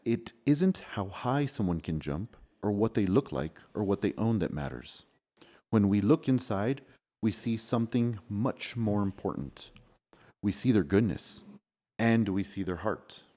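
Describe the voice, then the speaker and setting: A man's voice: on the low side, lively intonation, a little slow, dark tone. A man reading a storybook aloud and chatting with children as their father, with an encouraging, patient manner.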